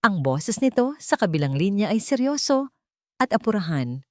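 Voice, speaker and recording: A woman's voice: mid-range voice, very animated, moderate pace, no echo, studio quality. A woman with a Filipino accent reading a scripted dramatic story as a storyteller.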